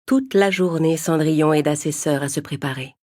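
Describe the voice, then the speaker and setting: A woman's voice: low voice, normal speed, warm tone, no echo, clean studio sound. A woman narrating a scripted bedtime fairy tale for young children as the storyteller.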